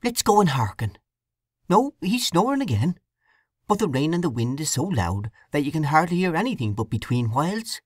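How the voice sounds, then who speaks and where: A woman's voice: sits low, very animated, normal speed, husky, no room sound, studio quality, voice pushed up, full character voice. A woman voicing a kindly old widow with a rural English dialect, homely and soothing.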